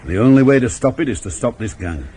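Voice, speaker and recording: An actor playing a shrewd, dogged middle-aged police inspector in a 1980s radio drama, terse, emphatic and authoritative. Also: male voice, mid-range voice, lively intonation, fast, dark tone, natural voice. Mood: brooding, shrewd. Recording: old radio broadcast, faint traffic noise.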